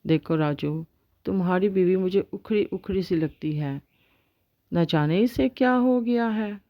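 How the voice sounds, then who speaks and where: A woman's voice: on the low side, measured pace, no echo, highs cut off, close to an everyday voice. A woman voicing a fussing but permissive older mother-in-law. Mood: puzzled.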